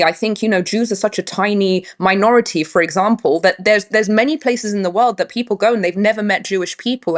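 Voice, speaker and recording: A woman in her thirties with a London accent, a guest speaking earnestly and in a measured way in an interview, restarting her phrases now and then. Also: mid-range voice. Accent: London English. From England. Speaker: guest activist. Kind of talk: interview conversation.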